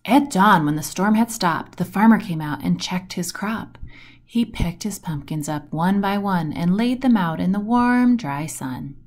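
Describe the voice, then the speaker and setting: A woman reads a rhyming picture book aloud for children. Her pace is slow and deliberate, and her pitch moves up and down with lots of animation. She leans on the rhymes and action words for dramatic effect. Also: animated. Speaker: storybook reader.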